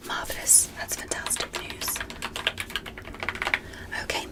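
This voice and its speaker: A woman playing a gentle, curious alien doctor in a whispered ASMR medical roleplay, speaking softly and breathily with careful, reassuring, formal phrasing. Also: slow pace, close to an everyday voice. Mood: careful, clinical.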